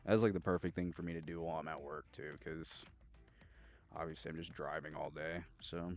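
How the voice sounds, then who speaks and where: A man chatting casually as he streams a game, rambling and repetitive in a halting, slangy way full of filler words. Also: extremely low pitch, fairly even intonation, soft background music. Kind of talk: casual rambling chat while gaming. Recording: gunfire and game noise behind. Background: video game gunfire and clicks.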